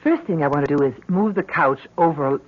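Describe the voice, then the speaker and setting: Through a dull, lo-fi radio recording comes a middle-aged mother in a radio drama. Her high voice is slightly husky and swings expressively as she fusses, chats and worries lovingly over her grown daughter. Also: animated, a little fast. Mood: chatty. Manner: chatty, solicitous. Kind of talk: old-time radio drama acting.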